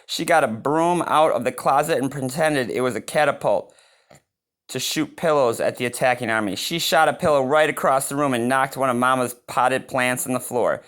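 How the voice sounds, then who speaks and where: A man's voice: mid-range voice, moderate pace. Man reading a children's storybook aloud. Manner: expressive, gasping and reacting to the story. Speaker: read-aloud reader and channel host. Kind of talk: storybook read-aloud with chatty asides.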